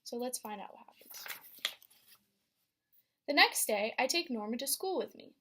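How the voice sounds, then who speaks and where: A young woman, a student teacher, reading a picture book aloud to a class with expressive storytelling and questions for the children. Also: a little high, normal speed, slight background noise. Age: young adult.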